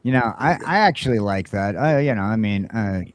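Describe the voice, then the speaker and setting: A man's voice: lowish voice, animated, normal speed, dry recording. A man chatting casually on a morning podcast, rambling with frequent fillers. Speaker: podcast co-host. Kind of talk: casual morning show chat.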